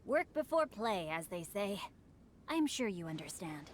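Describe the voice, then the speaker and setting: A woman playing a refined young aristocrat in a video game, with genteel, eloquent and gently teasing formal speech. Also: medium pitch, very animated, a little slow, slight background noise. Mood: smoothly teasing. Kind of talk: video game cutscene acting.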